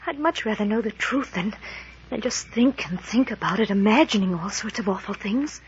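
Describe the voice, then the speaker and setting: An actress playing a frightened but morbidly curious young woman in a 1940s radio drama, speaking haltingly and pleadingly. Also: mid-range voice, expressive, a little hiss or hum, natural voice. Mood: anxious, insistent. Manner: halting, breathless, anxious, pleading. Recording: muffled vintage 1940s radio recording.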